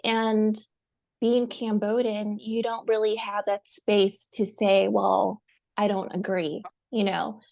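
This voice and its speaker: Soft, halting woman with gravelly voice. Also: medium pitch, level tone. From United States. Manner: soft, halting, with many pauses. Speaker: guest, survivor. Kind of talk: personal testimony.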